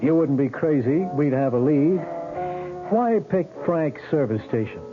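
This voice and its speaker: Skeptical police lieutenant on tinny old radio. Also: male voice, fairly high pitch, expressive. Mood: skeptical, probing. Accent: American. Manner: questioning, insistent, halting when reasoning. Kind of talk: vintage radio drama acting. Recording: tinny band-limited old radio recording, music.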